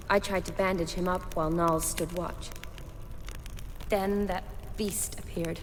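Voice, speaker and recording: A woman's voice: on the low side, slight background noise, natural voice. A woman acting an earnest, determined young medic in a video game, caring and stubborn, in a natural conversational manner. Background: music.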